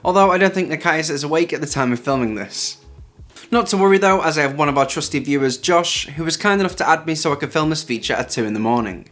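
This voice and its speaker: A man's voice: on the high side, expressive, fast talker, fairly bright tone. A man hosting a tech video, narrating a scripted hands-on review. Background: background music.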